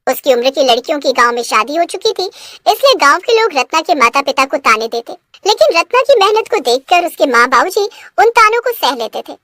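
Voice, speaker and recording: High, animated woman storyteller. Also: a little fast, dry recording, clean studio sound. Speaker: story narrator. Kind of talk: scripted cartoon story narration.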